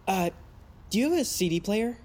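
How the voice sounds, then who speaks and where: A woman's voice: medium pitch, lively intonation, moderate pace, darkish tone, a little hiss or hum, natural voice. A woman in an audio drama playing a friendly, awkward, eager teenage boy, earnest and bumbling. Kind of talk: naturalistic audio drama acting.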